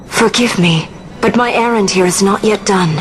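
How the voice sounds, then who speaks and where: An actress voicing a resolute young princess who speaks formally and firmly, over orchestral music. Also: female voice, medium pitch, natural voice. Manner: formal, firm and measured. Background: orchestral game score.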